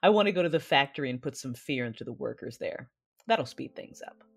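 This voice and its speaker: A woman playing a brooding, commanding Sith who narrates her memories in a measured way for an audio drama. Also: extremely low pitch, animated, gravelly, slightly rough, full character voice. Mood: weighty, intense. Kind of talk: first-person audio drama narration and dialogue.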